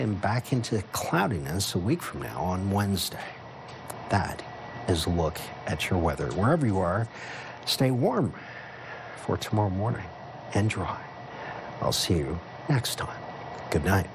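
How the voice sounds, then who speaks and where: A man's voice: deep voice, very animated, measured pace. A man presenting an ad-libbed weather forecast as a TV meteorologist. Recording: clear background noise.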